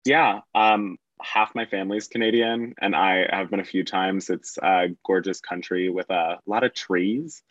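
A man who is a guest on a podcast, talking in a relaxed, rambling way with lots of fillers. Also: deep voice, measured pace, hardly any pauses, no room sound, muffled recording. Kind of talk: casual chat.

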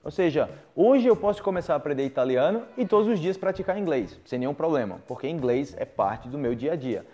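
Mellow Brazilian man giving straight advice. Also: a little high, lively intonation, brisk. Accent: Brazilian Portuguese. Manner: direct, persuasive, repeats words for emphasis. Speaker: language teacher. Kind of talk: casual advice video monologue.

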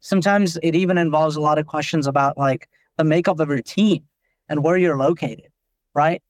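A man's voice: fairly high pitch, normal speed, no echo. A man from Nepal, a company founder, explaining things in a podcast interview with lots of "like" fillers. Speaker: company founder and CEO, guest.

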